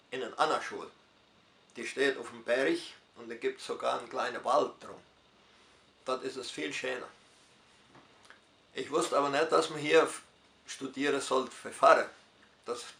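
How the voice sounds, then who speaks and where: Gravelly older man, Hunsrückisch German. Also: medium pitch, fairly bright tone, reverberant, slight background noise. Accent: Hunsrückisch German of southern Brazil. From southern Brazil. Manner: unhurried storytelling with dialect forms and hesitations. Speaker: retired pastor interviewee. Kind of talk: personal life story in interview.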